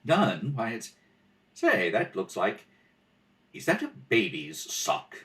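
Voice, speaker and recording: A man playing a shrewd, composed detective in a radio mystery, measured, pointed and wry. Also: fairly low pitch, wildly swooping pitch, a bit bright, heavily acted. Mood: wry, affectionate. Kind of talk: old-time radio mystery acting.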